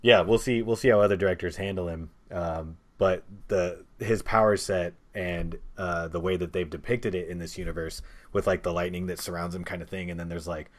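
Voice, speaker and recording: A man with a deep, low voice hosts a relaxed podcast chat about movies. He is opinionated and jokey, and he keeps the conversation moving along. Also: a little fast. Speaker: podcast host. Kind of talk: casual movie discussion podcast.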